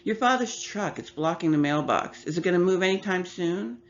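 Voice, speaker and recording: A woman's voice: lowish voice, animated, moderate pace, pitched above the performer's own voice. Impatient mailman, clipped and curt, as a woman reads him. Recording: slightly muffled with faint hum.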